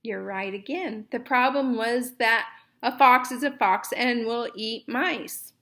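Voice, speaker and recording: A woman storyteller and teacher reading aloud to children expressively, with deliberate phrasing. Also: a little high, fairly bright tone.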